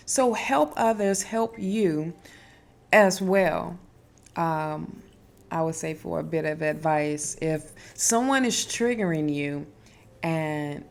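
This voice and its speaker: A woman hosting a casual spiritual advice talk, speaking emphatically with fillers and restarts and a strong, loud voice. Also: mid-range voice. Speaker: spiritual wellness host.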